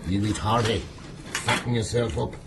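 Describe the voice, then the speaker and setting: An actor playing a surly, coarse seal hunter, blunt and rough-spoken, in a radio drama. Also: male voice, fairly low pitch, moderate pace, very noisy, close to an everyday voice. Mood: leering.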